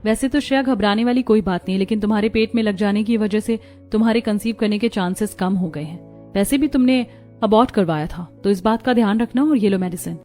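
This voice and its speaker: A woman voicing a calm, matter-of-fact doctor advising a patient in a cartoon drama. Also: mid-range voice, a little fast, soft background music, close to an everyday voice. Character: matter-of-fact doctor giving bad news. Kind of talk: Hindi cartoon voice acting.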